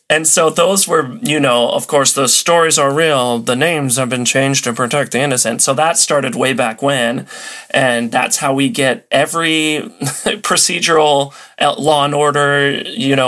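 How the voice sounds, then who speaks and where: A man's voice: medium pitch, sharp tone. A man talking as a podcast guest and enthusiast, explaining and telling stories in casual chat. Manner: conversational storytelling. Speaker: podcast guest, old-time radio enthusiast.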